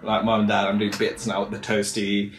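A man's voice: on the low side, completely flat delivery, a little fast, slight background noise. A young man from Wales, chatting casually and rambling through stories with lots of fillers. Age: young adult. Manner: rambling storyteller, many fillers and restarts. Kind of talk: casual chat.

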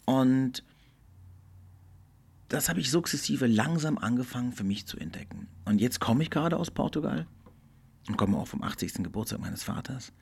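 Gravelly, husky middle-aged man musing. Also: medium pitch, expressive, a little mellow. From Germany. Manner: thoughtful, earnest, often slowing and dropping to near whisper. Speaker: podcast host. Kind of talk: reflective solo podcast monologue.

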